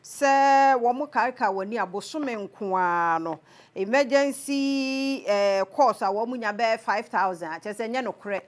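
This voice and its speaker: A woman's voice: fairly high pitch, measured pace. A woman with a Ghanaian accent presenting scripted television news.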